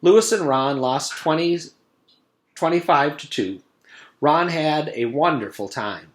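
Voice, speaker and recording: A man's voice: a little high, expressive, measured pace. A man who reads a children's storybook aloud as a teacher would to a young class. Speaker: teacher reading aloud to a third grade class. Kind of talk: animated children's storybook narration.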